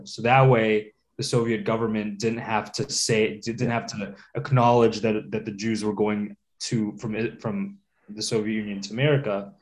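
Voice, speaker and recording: A man from Latvia, a guest in a casual interview, talking thoughtfully with many fillers and restarts. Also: on the low side.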